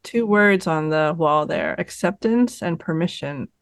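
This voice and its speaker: A podcast host asking calm, reflective questions over a video call. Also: medium pitch, a little slow, vocal fry, dry recording, clean studio sound. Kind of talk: casual interview chat.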